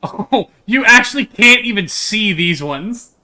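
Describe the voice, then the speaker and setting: A man's voice: high-pitched, animated, a little slow, bright, forceful tone. A man livestreaming a game, chatting casually in a jokey, slangy way and reading its text aloud. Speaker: game streamer.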